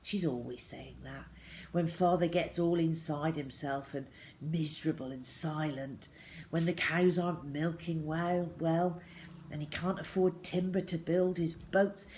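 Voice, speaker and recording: A woman reading a children's novel aloud as a first-person diary, with expressive, deliberate phrasing. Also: low voice, a little hiss or hum.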